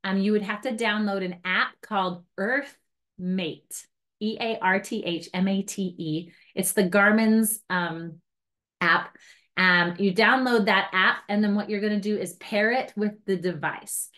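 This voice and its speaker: A woman's voice: mid-range voice, steady tone, unhurried, a bit bright. Woman giving a casual hands-on tutorial. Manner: casual, chatty step-by-step explaining with light self-mocking asides. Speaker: trainer for outdoor guides. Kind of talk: informal hands-on device tutorial.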